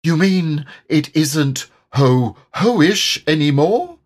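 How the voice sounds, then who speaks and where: A tiny, nervous piglet from a children's storybook, pitched very high and squeaky. The delivery is anxious and tremulous, swooping up and down. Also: male voice, expressive, drawn-out words, heavily acted. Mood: anxious, questioning.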